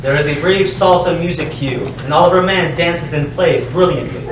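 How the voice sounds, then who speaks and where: A man's voice: a little high, moderate pace. Man narrating in a very echoey room. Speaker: stage directions reader. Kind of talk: scripted narration at a staged reading. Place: live staged reading, echoey indoor space. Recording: echoey room, distant mic, faint noise.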